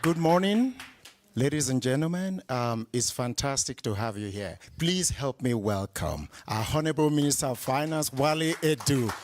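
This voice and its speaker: A man's voice: medium pitch, animated, moderate pace. A man, president of an organisation, giving a formal, courteous welcome and introduction from a conference stage. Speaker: group president introducing a guest. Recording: distant stage voice with crowd noise.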